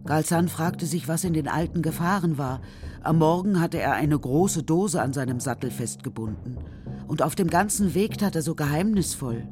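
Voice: medium pitch, expressive, normal speed. A narrator telling a children's story in a scripted, literary radio play style. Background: music.

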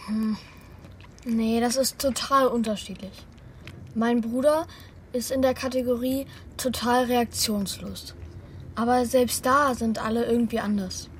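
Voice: medium pitch, fairly even intonation, a little slow, slight background noise, natural voice. A performer voicing a young boy in a children's radio play, thoughtful and hesitant, worried about his brother, both acting and narrating. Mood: guarded.

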